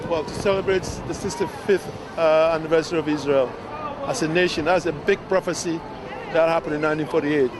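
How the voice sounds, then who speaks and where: A man's voice: a little high, steady tone, brisk. Man answering at a noisy parade. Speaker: parade participant. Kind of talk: street interview. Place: outdoors at a street parade. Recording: outdoor recording with crowd and noise.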